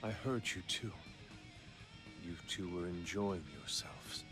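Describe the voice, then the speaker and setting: Slow, solemn devoted disciple. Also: male voice, low-pitched. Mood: earnest, determined. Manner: formal, solemn, deliberate. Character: earnest, devoted male assassin disciple, intensely solemn. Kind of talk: video game character acting. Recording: studio voice under loud guitar music.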